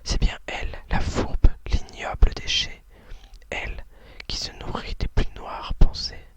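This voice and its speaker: Female, ASMR host, breathy whisper, slow pace, soft hesitant delivery. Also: dry recording. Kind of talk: ASMR, whispered talk and poetry reading.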